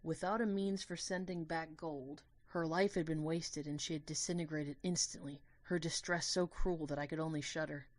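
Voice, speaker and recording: Woman as a low-voiced, lovestruck student narrator. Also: fairly even intonation, normal speed, few pauses, no echo, close to an everyday voice. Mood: wistful, anguished. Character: lovestruck, guilt-ridden young human student narrator. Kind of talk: dramatic first-person audio drama narration.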